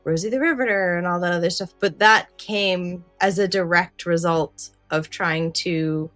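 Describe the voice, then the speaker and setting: A woman with a fairly low voice talks casually as a podcast co-host, working through her thoughts out loud. The recording is close and dry, with music underneath. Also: unhurried, no room sound. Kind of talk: casual podcast discussion of an old radio drama.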